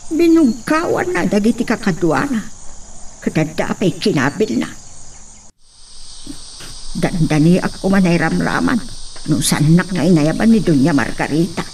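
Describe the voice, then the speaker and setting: Slow, lively woman narrator. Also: fairly low pitch, recorded from a distance, a little hiss or hum. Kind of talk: storytelling narration.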